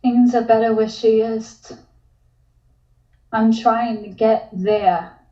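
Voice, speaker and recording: A woman's voice: fairly high pitch, steady tone, slow pace, mellow. A woman playing an uneasy, tentative woman in a comic table read. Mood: haunted. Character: uneasy woman, softly apprehensive. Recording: roomy, dull home microphone.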